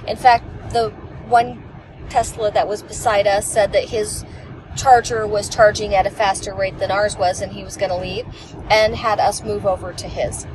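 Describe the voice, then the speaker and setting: A woman's voice: mid-range voice, little pitch movement, normal speed. Chatty woman in a noisy car. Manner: chatty, rambling storytelling. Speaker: passenger. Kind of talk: casual chat. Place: inside a moving car. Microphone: camera on the dashboard. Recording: clear road noise in a car.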